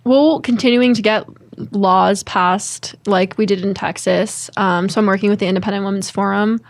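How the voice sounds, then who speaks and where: A woman's voice: mid-range voice, fairly even intonation, moderate pace. A young woman from Connecticut in her twenties, a guest on an interview show, answering in an earnest, upbeat way with frequent ums and restarts. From Connecticut, United States. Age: 20s. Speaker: guest, former college swimmer and advocate.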